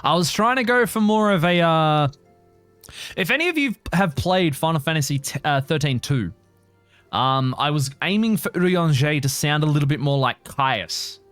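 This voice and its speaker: Chatty, animated male game streamer. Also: fairly high pitch, moderate pace, clear, resonant tone, no room sound. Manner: chatty, sweary, self-commenting. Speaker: streamer voicing a game playthrough. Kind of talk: casual live stream commentary. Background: faint game music.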